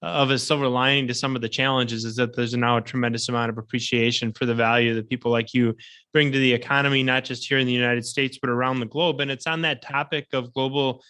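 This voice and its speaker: Host, man from Southeastern Wisconsin, bright tone, reflective, long winding questions. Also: mid-range voice, fairly even intonation, quick pace, clean tone. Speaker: podcast host. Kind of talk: podcast interview questions.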